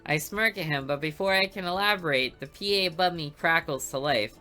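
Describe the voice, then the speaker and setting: A woman's voice: deep voice, a little fast, pushed and bright, faint music behind. A woman reading a visual novel aloud for a let's play, with playful asides between the lines.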